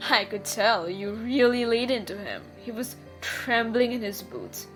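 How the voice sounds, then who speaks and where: A woman's voice: on the high side, sing-song, takes it slowly, a bit bright, faint music behind, exaggerated voice. A woman playing a wily, menacing thief in a scripted fantasy audio roleplay, with a theatrical delivery. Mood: surprised, amused.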